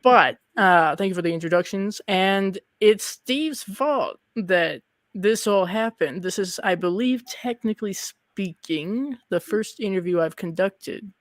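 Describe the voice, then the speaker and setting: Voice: mid-range voice, animated, measured pace, dry recording. A casual interview host with a mid-range voice, recorded close and dry. The delivery is conversational, with playful asides dropped in along the way.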